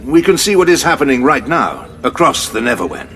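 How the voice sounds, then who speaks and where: An actor playing a grave male general in an audio drama, briefing in a measured, formal way. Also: medium pitch, very animated, a bit bright, faint music behind.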